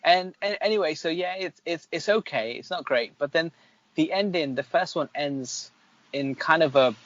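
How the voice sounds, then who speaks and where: A man's voice: on the high side, normal speed, a bit bright, no echo, highs cut off. A man reviewing films in casual chat as a podcast host, with an opinionated, critical manner.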